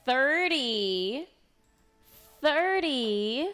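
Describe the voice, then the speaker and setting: A woman game streamer giving casual, unhurried commentary, thinking aloud as she plays. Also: high-pitched, expressive, fairly bright tone, soft background music.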